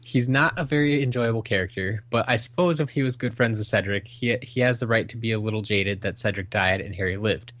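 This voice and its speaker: A man's voice: fairly low pitch, fairly quick, fairly bright tone, no room sound, lo-fi sound. A man co-hosting a casual podcast, reading listener emails and chatting with many restarts and fillers. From Washington State, US. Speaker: podcast co-host.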